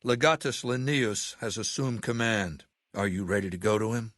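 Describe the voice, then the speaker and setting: An American man acting in a video game as a commanding, ruthless middle-aged warlord, speaking in a measured, blunt and imperious way. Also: on the low side, fairly bright tone, dry recording, clean studio sound, lightly acted.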